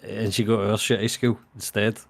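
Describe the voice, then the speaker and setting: A man's voice: lowish voice, little pitch movement, moderate pace, no room sound, clean studio sound. A man chatting casually on a group voice call, joking about himself and swearing in passing.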